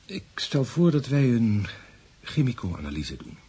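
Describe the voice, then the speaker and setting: An actor playing a calm psychiatrist in a radio play, coaxing and probing in a measured, clinical way. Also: male voice, medium pitch. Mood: explanatory. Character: calm, probing male psychiatrist guiding a patient. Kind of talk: Dutch-language radio play acting.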